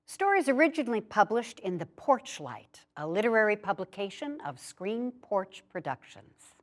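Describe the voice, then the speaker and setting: A woman reading a personal childhood memoir aloud in a measured storytelling way. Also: medium pitch, big pitch swings. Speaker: story reader.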